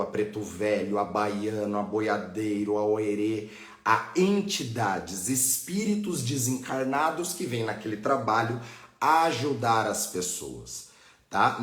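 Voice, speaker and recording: Low-voiced Brazilian man teaching, roomy echo. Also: fairly bright tone. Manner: didactic, frequent checking tags. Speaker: religious teacher hosting a live online class. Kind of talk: informal live-stream teaching, answering viewers' questions. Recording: echoey, roomy sound.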